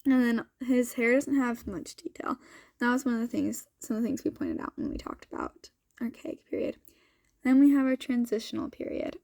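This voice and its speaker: A woman's voice: fairly high pitch, big pitch swings, normal speed, gravelly, darkish tone, no room sound. A young woman with a sniffly cold, chatting informally and thinking aloud as she presents a student vlog. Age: young adult. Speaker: student vlogger. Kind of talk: casual chatty vlog walkthrough.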